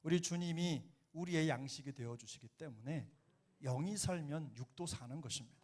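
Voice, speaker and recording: A middle-aged man preaches a sermon in a church, his voice sitting a little high. He speaks earnestly with a slight Jeolla accent. He swells into emphatic exhortation. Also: normal speed, darkish tone. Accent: Jeolla dialect. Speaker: senior pastor. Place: church sanctuary.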